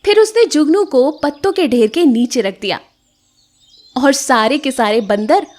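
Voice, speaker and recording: A woman storyteller reading scripted children's moral tales in an expressive way. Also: high voice, a little slow.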